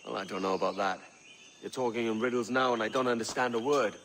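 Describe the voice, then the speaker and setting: Cocky pirate captain, noisy background. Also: male voice, on the low side, quick pace, fairly bright tone. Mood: puzzled, casual. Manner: cocky, plain-spoken, casual. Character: brash, self-seeking young male pirate captain. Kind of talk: video game cutscene acting. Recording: clear background noise with clicks.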